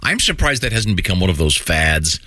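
A man co-hosts a radio talk show and delivers teasing jokes with a straight face. His voice is very deep but still lively in its pitch. The sound is dry and close. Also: fairly quick, no echo. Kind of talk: casual radio banter.

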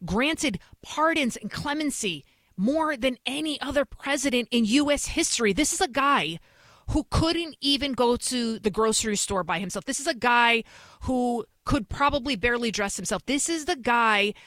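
A woman's voice: a little high, lively intonation, normal speed, a bit bright, no echo. A woman with a thick Bronx accent hosts a talk program in an emphatic, insistent way, hammering her points by repeating them. The recording is dry and close, with no room sound. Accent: New York (Bronx). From New York City. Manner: emphatic, repeats phrases for effect. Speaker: host. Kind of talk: talk radio commentary.

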